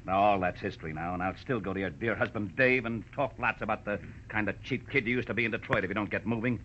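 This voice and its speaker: Male heavy, actor: cold American racketeer, forceful and lowish, commanding, muffled old-time radio thriller with faint hiss. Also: fast talker, lightly acted. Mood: threatening. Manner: threatening, commanding, slangy. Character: menacing male gangster, cold blackmailer and killer.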